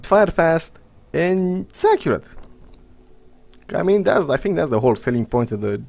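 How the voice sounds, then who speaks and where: A man's voice: a little high, very animated, moderate pace, gravelly, darkish tone. A young man from Romania with a Romanian accent, giving casual, rambling gameplay commentary in English, blunt and sarcastic. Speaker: gaming commentator.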